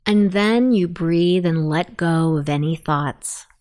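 Very slow-speaking woman guiding sleep meditation. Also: fairly low pitch, a little mellow. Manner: soothing, unhurried, deliberate. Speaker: meditation guide. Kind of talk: guided sleep meditation narration.

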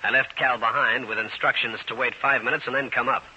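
A tough American male private eye from a 1940s radio serial. His voice is very bright and forceful, with clipped, wry delivery. The old recording is dull with a light hiss. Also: medium pitch, steady tone. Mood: matter-of-fact. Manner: brisk, hard-boiled, clipped delivery. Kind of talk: 1940s radio detective acting and narration.

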